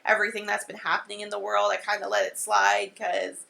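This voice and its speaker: Bright, gravelly-voiced woman chatting casually. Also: mid-range voice, completely flat delivery. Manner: agreeable, quick affirmations, laughs easily. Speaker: podcast co-host. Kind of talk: casual chat.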